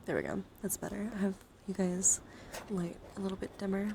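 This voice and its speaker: A woman hosting an ASMR livestream, slipping between whispering and soft speech in a gentle, chatty, encouraging way as she shows things to viewers. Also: medium pitch, steady tone, brisk, vocal fry, noisy background. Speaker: livestream host. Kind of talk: whispered ASMR, showing and reading out trading cards. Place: indoor livestream, hands-on camera.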